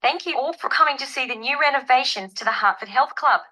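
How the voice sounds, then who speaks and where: A woman's voice: sits high, fast, sharp tone. A woman playing a welcoming, proud health club tour guide in a scripted listening-test monologue.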